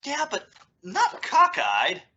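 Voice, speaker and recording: A woman's voice: sits high, wildly swooping pitch, slow pace, very noisy, heavily acted. A woman playing a wry, exasperated but loving middle-aged father in an old-time radio sitcom, with emphatic, sarcastic delivery. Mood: wryly sarcastic. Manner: dry sarcasm with emphatic swoops. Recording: dull, lo-fi audio.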